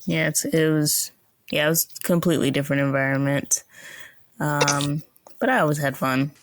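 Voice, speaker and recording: A woman in her twenties hosting a podcast, chatting in a relaxed, agreeable way and asking probing questions. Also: low-pitched, normal speed, no room sound, studio quality. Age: 20s. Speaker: podcast host. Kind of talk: casual interview chat.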